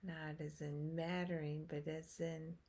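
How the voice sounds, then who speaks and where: A woman guiding a meditation as a teacher, speaking in short phrases with long pauses and hushed endings. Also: low voice, little pitch movement. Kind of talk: guided meditation, slow spoken instruction.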